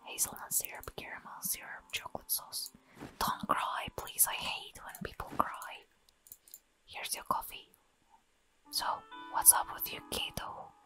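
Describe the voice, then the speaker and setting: A woman's voice: measured pace, bright, forceful tone, faint music behind, poor audio. A woman whispering playful, giggly commentary as she plays a barista game, in the manner of ASMR. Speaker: gamer playing a barista game.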